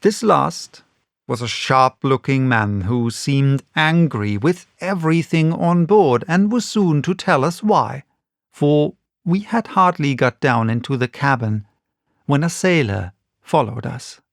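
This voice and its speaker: Unhurried male audiobook narrator. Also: medium pitch, lively intonation, gravelly, no room sound, studio quality. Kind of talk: scripted literary narration.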